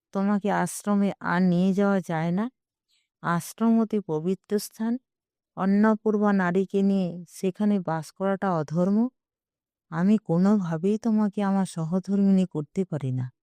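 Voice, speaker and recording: A woman's voice: medium pitch, a little slow, mellow, smooth, no echo, lightly acted. A woman voicing an arrogant, self-righteous male sage in an audio story, speaking in a dismissive, domineering manner. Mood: indignant. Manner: dismissive, imperious. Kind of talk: audio story character reading.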